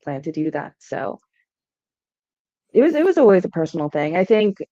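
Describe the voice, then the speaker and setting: A woman's voice: lowish voice, big pitch swings, moderate pace, dark tone, no room sound. A young adult woman from Hawaii, a startup founder answering podcast interview questions in a conversational way, often saying "um" and "right?". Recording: dull lo-fi sound.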